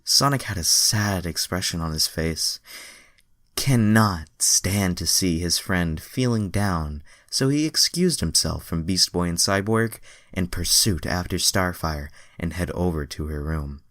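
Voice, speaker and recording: A man's voice: deep voice, expressive, measured pace, fairly bright tone, no room sound, studio quality. A man reading fan fiction aloud as an amateur narrator, breaking into irreverent, self-deprecating asides.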